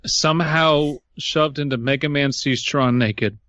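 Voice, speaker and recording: A man co-hosting a comedy podcast over a call, exasperated and full of quick wisecracks. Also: fairly high pitch, a bit bright, dry recording. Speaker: podcast co-host. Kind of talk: casual comedic podcast chat. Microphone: remote call over Skype.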